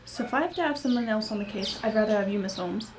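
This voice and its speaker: A woman's voice: mid-range voice, fast talker, noisy background, natural voice. A woman acting an eager, plain-spoken local police sergeant in a radio drama, folksy and deferential. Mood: earnest, wary.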